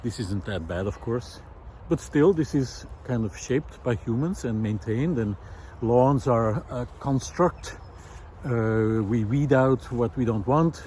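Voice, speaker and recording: A man with a medium-pitched voice talks to camera outdoors with clear ambient noise behind him. He explains his ideas reflectively, searching for words and often stopping mid-sentence. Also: mellow. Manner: hesitant, thoughtful, pausing mid-sentence. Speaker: presenter of a nature and philosophy video series. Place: outdoors in a park.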